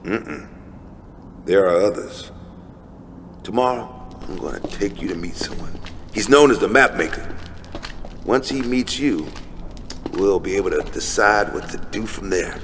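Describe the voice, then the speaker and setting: Low-voiced streetwise conspiracy theorist. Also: male voice, measured pace, pauses often. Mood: conspiratorial. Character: streetwise, conspiracy-minded homeless man, an earnest mentor. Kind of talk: audio drama acting. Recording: reverberant hall with steady noise.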